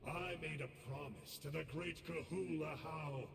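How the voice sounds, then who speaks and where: An actor playing a sly, smug scholar who betrays the heroes in a video game, speaking in a playful, teasing, theatrical way. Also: male voice, on the high side, fairly even intonation, measured pace, creaky, in a large hall, recorded from a distance, background noise. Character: sly, smug male scholar turned traitor, playful villain.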